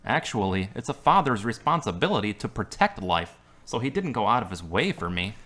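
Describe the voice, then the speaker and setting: A guarded young man, as a man acts him out, speaking flat and terse in a lowish voice. His replies come short and blunt, holding people at arm's length. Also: fairly quick, heavily acted.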